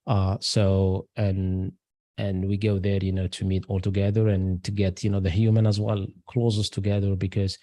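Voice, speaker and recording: A middle-aged man who grew up between Algeria and France, a guest in an interview, speaking English haltingly with many fillers and restarts. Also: deep voice, flat delivery, dark tone, dry recording. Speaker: guest, aerospace engineer. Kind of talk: casual interview talk.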